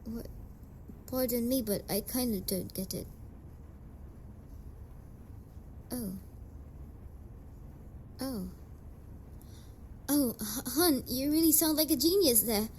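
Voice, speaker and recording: A young woman playing an emotional, halting tsundere delinquent heiress in an amateur audio roleplay. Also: a little high, darkish tone, a little hiss or hum, rough recording. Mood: relieved, resolving. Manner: emotional, halting, swears freely. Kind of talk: amateur audio roleplay, intimate dramatic monologue.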